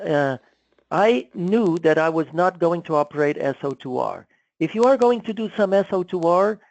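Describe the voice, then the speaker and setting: A man with a fairly high, creaky voice explains technical matters informally. He speaks haltingly, doubling back on words. The recording is dry and a bit muffled. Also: a little mellow, no echo. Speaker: guest presenter explaining a radio contest technique.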